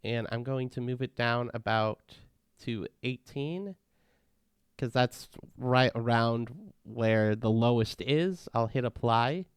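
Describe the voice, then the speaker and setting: A man walking viewers through audio editing steps in a relaxed, casual tutorial. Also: mid-range voice, slow pace, clean tone, no room sound. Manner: relaxed, step-by-step instructing. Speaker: tutorial host. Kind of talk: casual software walkthrough.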